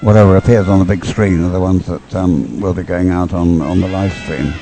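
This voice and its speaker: A man's voice: low voice, fairly even intonation, fairly quick, creaky, mellow, faint music behind. A man giving unscripted live sports commentary in a hesitant, rambling, stop-start way.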